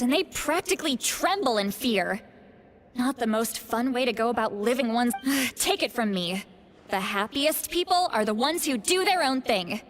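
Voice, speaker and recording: Proud, wry shaman teacher, echoing. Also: fairly high pitch. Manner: self-assured, dry, teasing. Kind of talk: video game voice acting. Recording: hall reverb over music.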